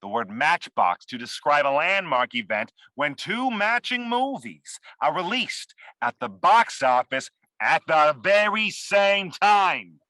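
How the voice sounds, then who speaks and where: A man's voice: a little high, animated, slow, few pauses, sharp tone, no echo, full character voice, rough recording. A man playing a self-important movie showman with a grandiose, declamatory manner.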